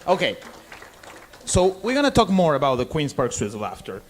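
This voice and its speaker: A man from Argentina with a Spanish accent, giving an informal lecture in halting English and often checking in with "okay?". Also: on the high side, wildly swooping pitch, a faint crowd, a little hiss or hum. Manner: halting non-native English, frequent "okay?" check-ins. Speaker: bartender giving a seminar. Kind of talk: informal lecture to a live audience.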